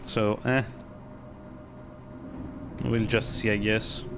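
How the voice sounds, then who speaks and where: A man giving casual, halting live commentary on a video game, sounding sleepy and bored. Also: on the low side, flat delivery, gravelly. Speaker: streamer playing a video game. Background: game music.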